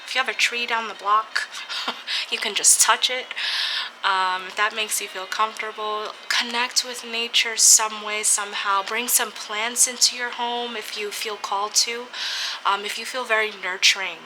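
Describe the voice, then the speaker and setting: A woman slowly and dreamily reading tarot for an online audience, sounding a little stuffy from a cold, with soft laughs and pauses. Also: a little high, steady tone, background noise. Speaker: tarot reader. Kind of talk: spiritual tarot reading for camera.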